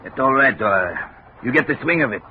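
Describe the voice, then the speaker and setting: An actor playing a kindly, easygoing immigrant steelworker with non-native phrasing in a 1950s radio drama. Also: male voice, medium pitch, animated, a little fast, lightly acted. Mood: kindly, reassuring. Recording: tinny noisy vintage radio recording.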